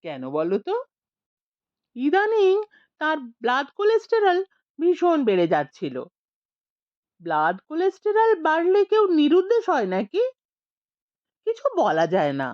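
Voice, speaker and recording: A woman voicing a gossipy village man who talks in a low, conspiratorial tone. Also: wildly swooping pitch, unhurried, pauses often, dry recording, highs cut off, full character voice. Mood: hushed.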